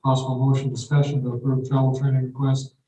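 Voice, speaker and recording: A man runs a city council meeting, calling for motions and votes in a brisk, procedural manner. His voice is mellow and dark. He sounds distant from the mic in a roomy auditorium with a faint hiss underneath. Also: mid-range voice, monotone. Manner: brisk procedural, quickens when explaining. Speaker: meeting chair. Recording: echoey hall from far off, faint noise.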